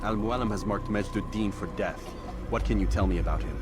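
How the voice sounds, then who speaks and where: An actor playing a proud young assassin in a video game, stern and questioning, with a terse, direct manner. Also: male voice, fairly low pitch, fairly quick, over music, lightly acted. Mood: subdued, resolute. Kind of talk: dramatic video game cutscene acting.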